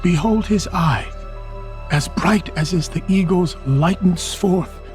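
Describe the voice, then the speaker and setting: An actor playing a grave, cautioning older nobleman, an uncle, in deliberate Shakespearean radio verse. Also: high voice, darkish tone, soft background music. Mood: sorrowful awe. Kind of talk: Shakespearean radio drama acting.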